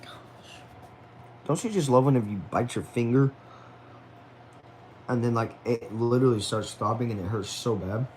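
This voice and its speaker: Young guy around twenty on a home livestream, reading chat out loud, chill and chatty, says y'all a lot, normal pitch. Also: normal speed, long pauses, a little hiss or hum. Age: about 20. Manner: laid-back, chatty, says y'all and man. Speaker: livestream host. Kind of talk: casual livestream chat, reading viewer messages aloud.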